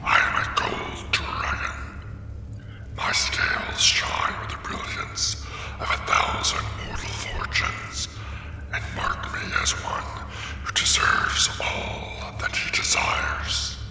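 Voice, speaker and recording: A man voicing a haughty, possessive ancient gold dragon in a formal, menacing, breathy growl for a fantasy ASMR roleplay. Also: close to an everyday voice. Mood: haughty, boastful. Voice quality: very deep, gravelly, breathy growl. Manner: pompous, slow, menacing, formal. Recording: echoing cavern-like reverb, background music. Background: dragon lair ambience.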